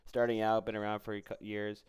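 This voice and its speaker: A man's voice: lowish voice, completely flat delivery, fairly quick, very noisy. A man hosting a casual interview, talking informally and often stumbling over and repeating words. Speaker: interviewer, podcast host.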